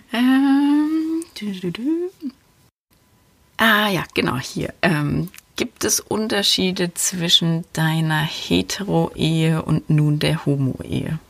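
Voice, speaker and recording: Low, husky, unhurried woman podcaster. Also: dry recording. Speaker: podcast host. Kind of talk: casual podcast monologue answering listener questions.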